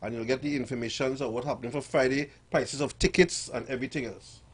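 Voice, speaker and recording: A man's voice: mid-range voice, steady tone, quick pace, husky. A man with a Trinidadian accent, a calypso singer guest on a radio show, joking and jovial. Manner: jovial, joking, laughs loudly. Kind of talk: casual radio interview.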